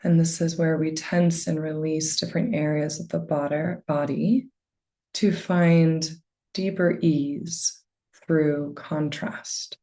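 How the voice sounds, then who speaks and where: A woman guides a meditation in a low, soft voice. She speaks very slowly, leaving space between phrases. Her gentle delivery settles into a noticeable creak. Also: fairly even intonation. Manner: gentle, unhurried phrasing with long pauses. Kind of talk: guided meditation, slow soothing narration.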